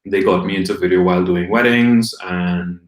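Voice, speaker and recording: Man, from Trinidad and Tobago, deep voice, roomy echo, relaxed rambling guest. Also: moderate pace. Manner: relaxed, rambling storyteller with frequent ums. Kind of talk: casual live-stream interview. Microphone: video call. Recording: echoey room on a video call.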